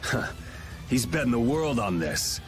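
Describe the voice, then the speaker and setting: A man's voice: mid-range voice, very animated, unhurried, music in the background. A man voicing a blunt, irreverent young hero with a casual, defiant way of talking in a video game cutscene. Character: blunt, cocky young male vigilante hero.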